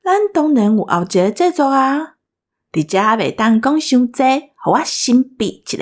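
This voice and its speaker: A woman's voice: fairly high pitch, big pitch swings, normal speed. A woman with a Taiwanese accent co-hosting a casual special, bossy and teasing in her banter. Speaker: co-host of a radio drama cast special.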